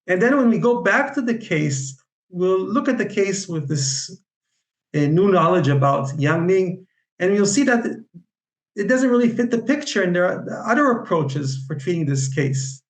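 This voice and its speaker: A man with an Israeli accent giving an online lecture on Chinese medicine, speaking haltingly with many fillers and restarts. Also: high voice, lively intonation, reverberant, low-quality recording. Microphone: video call.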